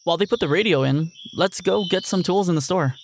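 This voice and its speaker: An actor playing a curious, skeptical brother in an audio drama, speaking in casual, short prompts. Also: male voice, high-pitched, fairly quick, slight background noise.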